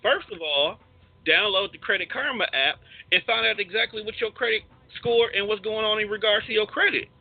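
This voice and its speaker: A man's voice: high-pitched, a little fast. A man hosting a casual podcast, talking in slangy, teasing banter on a muffled recording. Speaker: podcast host.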